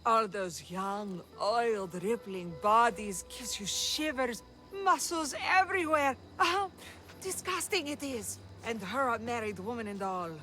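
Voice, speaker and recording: A woman's voice: a little high, expressive, unhurried, sharp tone, soft background music. A woman voicing a gossipy, disapproving older woman with colloquial speech. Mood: scandalized.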